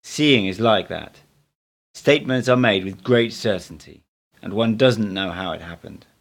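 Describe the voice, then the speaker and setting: A man's voice: lowish voice, a little slow, gravelly. A man reading the part of an assured male teacher, speaking in a didactic, emphatic way in an audiobook. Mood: approving, explanatory. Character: Don Juan, assured male teacher, instructing and correcting.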